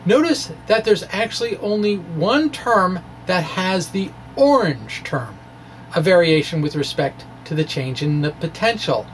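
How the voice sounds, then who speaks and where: A man's voice: sits high, measured pace, poor audio. A man in his forties presenting a physics lecture in a playful, wry way, moving between scripted reading and informal explaining. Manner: playful, wry dramatic delivery. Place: small indoor room with bookshelves. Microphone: microphone on a stand close in front. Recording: faint steady background noise.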